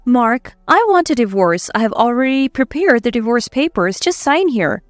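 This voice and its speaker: A woman voicing a wronged housewife in a story, composed and firm, quietly set on revenge. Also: on the high side, sing-song, moderate pace, dry recording, studio quality, soft background music. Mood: resolute. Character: wronged housewife, calm, determined, quietly vengeful.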